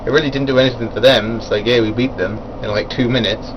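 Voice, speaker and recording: A man's voice: mid-range voice, fairly even intonation, quick pace, muffled recording, noisy background. A man giving a casual, rambling spoken review of a wrestling event, full of fillers and chuckling at his own asides.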